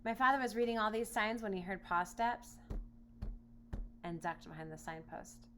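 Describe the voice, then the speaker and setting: A woman reading a children's storybook aloud in expressive narration. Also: medium pitch, normal speed. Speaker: storybook reader. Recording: noisy home recording with hum.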